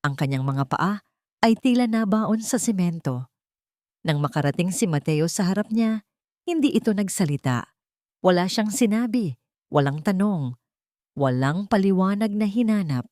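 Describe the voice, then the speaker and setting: A woman's voice: mid-range voice, animated, normal speed, pauses often, warm tone, no room sound, clean studio sound. A woman narrating a dramatic love story in an emotive, melodramatic way.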